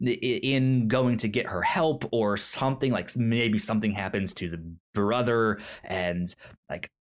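A man talks casually as a film podcast co-host in an informal, rambling way. His speech is halting, with a stutter that repeats sounds and syllables before words come out. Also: lowish voice, fairly even intonation. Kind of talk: casual movie discussion.

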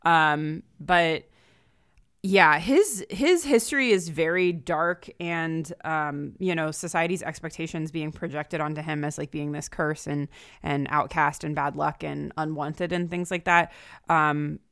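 A woman with a low-pitched voice speaks as a podcast guest in casual discussion. She is thoughtful and analytical, and the recording is dry and close to echo-free. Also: unhurried, fairly bright tone, smooth. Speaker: guest co-host.

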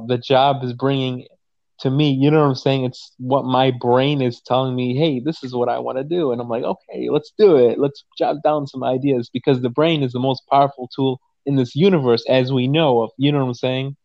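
A man's voice: mid-range voice, no echo, dull audio. A man in his twenties talking casually and emphatically, with lots of swearing and repeated "you know what I'm saying.". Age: 20s. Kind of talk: casual conversation.

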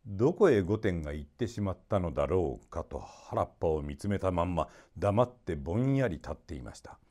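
A man narrating a children's story expressively, in a polite and measured storytelling manner. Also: low-pitched, animated, dark tone.

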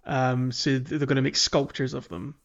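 A man's voice: medium pitch, little pitch movement, moderate pace, lo-fi sound. A man co-hosting a gaming podcast, chatting casually about a video game. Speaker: podcast co-host.

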